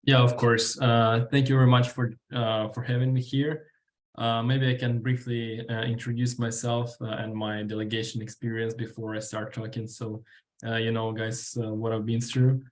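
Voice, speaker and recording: A man in his twenties with a Ukrainian accent, speaking informally and haltingly as a guest at a workshop. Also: medium pitch, little pitch movement, slight background noise. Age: 20s. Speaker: guest speaker, student delegate. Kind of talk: informal workshop talk answering questions.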